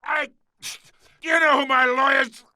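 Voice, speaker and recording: An actor playing a brash, foul-mouthed mob boss in a video game, defiant even when cornered and blustering with threats. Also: male voice, very high-pitched, measured pace, no echo, clean studio sound, exaggerated voice. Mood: furious. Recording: loud action noise behind the voice.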